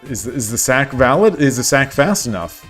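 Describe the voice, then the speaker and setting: Male streamer, casual thinking-aloud commentary, mid-range voice, soft music bed. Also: very animated, moderate pace. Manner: thinking aloud, repeats and restarts, low-key. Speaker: streamer playing online shogi.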